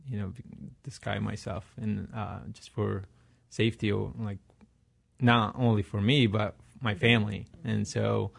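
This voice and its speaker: A man from Iraq, a radio interview guest who answers haltingly with many fillers and restarts. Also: fairly low pitch, little pitch movement, gravelly. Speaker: guest, ministry leader.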